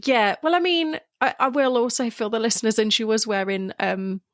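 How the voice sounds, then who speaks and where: A woman's voice: a little high, no room sound, studio quality. A woman with a Southern English accent, hosting a casual podcast chat, laughing often and talking animatedly. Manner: giggly, quick-laughing, animated. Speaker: podcast host.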